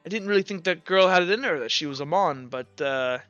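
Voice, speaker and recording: A man acts out a kindly old mentor in a tabletop game, avuncular and halting, reassuring those around him. The voice is a little high and bright, captured clean and close. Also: lively intonation, no room sound, highs cut off, faint music behind. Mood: genial, musing.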